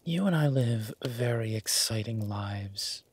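Male actor as affectionate werewolf boyfriend, roleplay audio. Husky and breathy, unhurried, gentle, halting delivery, easygoing and content. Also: medium pitch, animated, full character voice. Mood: tender, content. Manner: gentle, halting, murmured with long pauses. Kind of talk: intimate roleplay audio, slow soft conversational acting.

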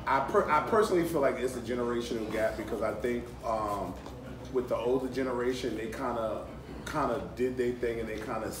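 A man with a deep voice talking casually in a panel discussion, repeating himself as he makes his point, recorded in a hotel conference room. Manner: relaxed, repeats phrases, conversational. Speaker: panelist in audience. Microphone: handheld mic, recorded by a phone camera across the room. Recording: echoey room, from far off, noisy.

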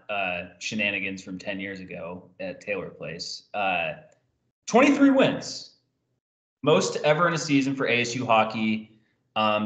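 A man's voice: medium pitch, fairly bright tone. Young male sports host in an echoey room. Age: young adult. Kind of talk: casual interview chat. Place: indoor room on a video call. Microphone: video call microphone. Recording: echoey room over video call.

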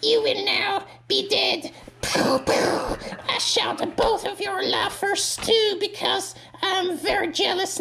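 A woman's voice: very high voice, creaky, fairly bright tone, slight background noise, voice pushed up, exaggerated voice. A woman voicing a jealous, boastful green ogre lady who speaks in stilted, childlike declarations.

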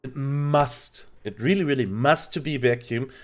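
A man's voice: medium pitch, unhurried. A man with a German accent, a native German speaker, hesitantly explaining a game in a casual tutorial, searching for words. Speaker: game streamer, tutorial host. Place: indoor home streaming setup.

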